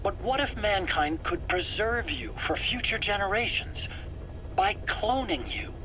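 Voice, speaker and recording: A man's voice: high voice, lively intonation, measured pace, pushed and bright, exaggerated voice. An actor playing a nervous, self-justifying male scientist in a video game interrogation, stammering and earnest. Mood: earnest, intrigued. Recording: noisy cassette tape interrogation recording.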